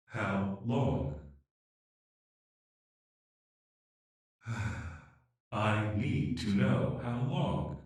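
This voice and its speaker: A man voicing an anxious, polite, humanlike artificial intelligence in an emotional audio drama, speaking in a measured, formal, hesitant way. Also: medium pitch, darkish tone. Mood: tense, restrained. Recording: echoey, distant-sounding room.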